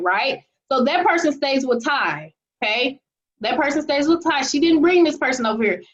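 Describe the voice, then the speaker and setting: A woman's voice: sits high, fairly bright tone, lo-fi sound. A woman hosting a video call, presenting with upbeat energy and checking in with "okay?" again and again. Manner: upbeat, repeats "okay?" often. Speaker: host of an online money-making call.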